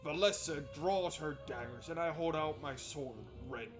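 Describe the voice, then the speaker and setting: Male gaming host, fairly high voice, casual, wry asides, reading story text aloud, faint music behind. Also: normal speed. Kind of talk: casual playthrough commentary and reading narration aloud.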